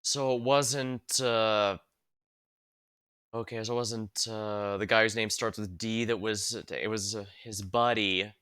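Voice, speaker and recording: A man streaming a game, giving casual, offhand commentary and reading on-screen text in a halting way. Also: on the low side, steady tone, bright, forceful tone, smooth, dry recording, studio quality.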